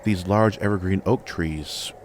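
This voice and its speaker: A man reading a scripted first-person fantasy story as narrator, in measured, dramatic, deliberate phrases. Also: low voice, a little hiss or hum. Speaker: audiobook narrator.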